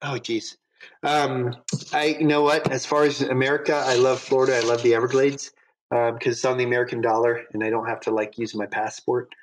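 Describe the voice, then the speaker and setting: A man's voice: medium pitch, little pitch movement, brisk, slight background noise, low-quality recording. A man as a podcast guest in casual chat, telling upbeat, rambling stories full of fillers. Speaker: podcast guest, knife designer.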